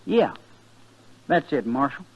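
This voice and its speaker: An actor playing a stubborn, defiant sixteen-year-old cowhand with a rural Western American accent and terse delivery in a 1950s radio western. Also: male voice, mid-range voice, sing-song, takes it slowly, slightly rough, full character voice. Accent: rural American West. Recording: dull vintage radio recording.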